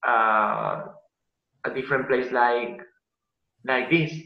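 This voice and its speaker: A man's voice: mid-range voice, steady tone, takes it slowly, gravelly. Hesitant eighteen-year-old man, echoey room. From Spain. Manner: hesitant, many fillers. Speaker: language exchange student. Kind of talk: casual video call chat. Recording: echoey room, dull lo-fi sound.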